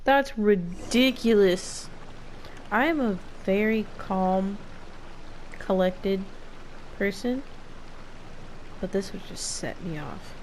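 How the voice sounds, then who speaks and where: A woman streamer giving playful, theatrical gameplay commentary with deadpan joking, game music behind her. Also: medium pitch, takes it slowly, pauses often, background noise. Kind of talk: playful live gameplay commentary with improvised dramatics.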